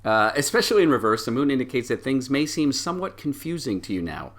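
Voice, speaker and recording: A man acting as game master, narrating a story game and reading card meanings aloud in a conversational way with hesitant pauses. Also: medium pitch, big pitch swings, a bit bright. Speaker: game master of tabletop role-playing show.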